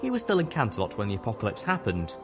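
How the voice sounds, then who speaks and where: A man's voice: fairly low pitch, wildly swooping pitch, very fast. A man doing a gossiping robed pony. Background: music.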